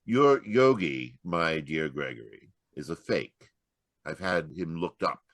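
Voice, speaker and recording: A man's voice: very deep voice, lively intonation, takes it slowly, fairly bright tone, no room sound, clean studio sound, heavily acted. A man playing a stern, scornful rich man in a radio mystery, emphatic, pompous and dismissive. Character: stern, scornful rich older brother, foundation head. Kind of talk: old-time radio mystery acting. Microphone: close home microphone on a video call.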